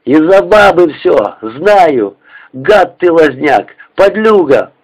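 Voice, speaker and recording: A man voicing a frenzied young soldier, ranting hoarsely in short, furious bursts in a war story. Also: on the high side, sing-song, takes it slowly, pitched above the performer's own voice, exaggerated voice, rough recording. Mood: furious defiance. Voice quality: hoarse shouting. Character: frenzied young male soldier, raging and accusing.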